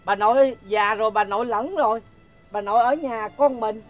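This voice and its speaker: A woman's voice: fairly high pitch, normal speed, dull audio, soft background music, close to an everyday voice. Woman voicing a hesitant, remorseful father. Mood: troubled. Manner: hesitant, stammering when unsure. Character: troubled, remorseful middle-aged father.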